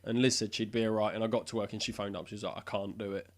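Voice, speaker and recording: Lowish man with a tickly throat. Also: steady tone, rapid-fire. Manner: chatty storyteller, acts out dialogue. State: throat still recovering, occasional cough. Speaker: podcast co-host. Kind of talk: casual chat.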